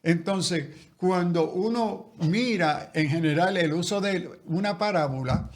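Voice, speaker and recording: Slow, careful pastor explaining theology. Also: male voice, sits high. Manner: slow, careful, lecturing with restarts. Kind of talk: explanatory interview answers.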